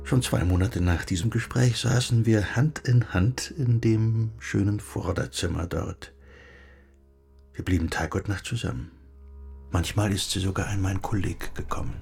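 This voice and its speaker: An actor playing a middle-aged, bookish recluse in a radio play, speaking in a reflective, lyrical and measured way. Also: male voice, lowish voice, creaky, a little mellow, breathy edge, soft background music, exaggerated voice. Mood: tender, reminiscing. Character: wistful, gently philosophizing bookish recluse husband. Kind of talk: German radio play acting.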